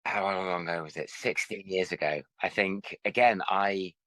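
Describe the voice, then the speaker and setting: A man hosting a podcast interview, welcoming his guest and asking polite, measured questions. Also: sits low, steady tone, fairly bright tone, dry recording, low-quality recording. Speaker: podcast host. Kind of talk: interview host.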